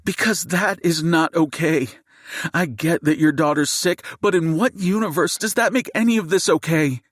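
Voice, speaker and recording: A man voicing a fierce, furious mother who shouts and seethes at a gunman, in an audiobook. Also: fairly high pitch, moderate pace, dry recording, studio quality, pitched above the performer's own voice, natural voice. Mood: furious, defiant.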